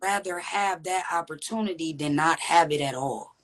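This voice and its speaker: Woman w a deep raspy low voice, earnest podcast guest talking it through, lots of "you know what i'm saying". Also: normal speed. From Texas. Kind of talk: candid conversational interview.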